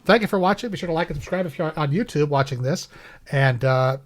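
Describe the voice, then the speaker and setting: A man's voice: a little high, animated, fast. Upbeat chatty man hosting a podcast. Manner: chatty, upbeat, laughs often. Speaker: podcast host. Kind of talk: casual chat. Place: home studio. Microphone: studio microphone.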